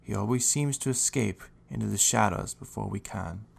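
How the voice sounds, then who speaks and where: Man acting calm gravelly low-voiced Raichu guardian. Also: heavily acted. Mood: earnest, explaining. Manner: measured, explanatory. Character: calm, helpful adult male Raichu guardian, steady and responsible. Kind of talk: audio drama dialogue.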